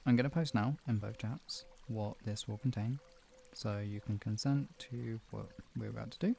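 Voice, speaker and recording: A man with a low, gravelly and mellow voice, talking as the host of a guided relaxation stream. He is informal and gently instructive. There is some background noise in the recording. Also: moderate pace. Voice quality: deep voice. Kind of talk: casual chat moving into guided relaxation and hypnosis instruction.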